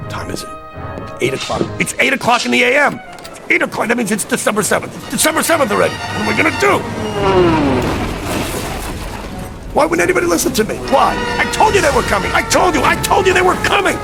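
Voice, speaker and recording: A man's voice: very high-pitched, vocal fry, heavily acted. An actor playing an agitated, desperate American man in a radio drama, pleading insistently in streetwise talk. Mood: frantic, desperate. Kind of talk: vintage radio drama acting.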